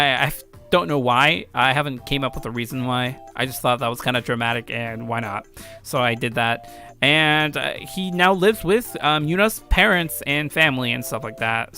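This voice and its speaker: A man's voice: medium pitch, sharp tone. A young adult man giving casual, rambling let's play commentary as a video host, offhand and self-deprecating about his own work. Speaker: video host and amateur game creator. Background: background music.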